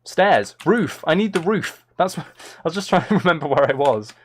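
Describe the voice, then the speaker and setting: Lively, chatty young man streaming a game. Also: a little high, normal speed, slight background noise. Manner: chatty, rambling and self-deprecating. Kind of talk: casual live-stream chat while gaming.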